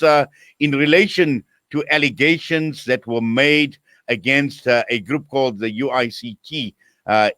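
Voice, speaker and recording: A man hosts a studio broadcast interview in a measured, unhurried way. He stops often for filler words. His voice sits in the middle range for a man. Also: medium pitch, a bit bright. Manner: measured, many filler pauses. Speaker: radio host. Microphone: studio microphone.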